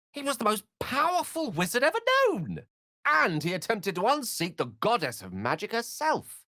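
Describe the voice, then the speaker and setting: Male scholarly wizard, fantasy video game, articulate, theatrical, wry, widely varied pitch, dry studio sound. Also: bright, forceful tone, no echo, heavily acted. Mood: urgent, impassioned.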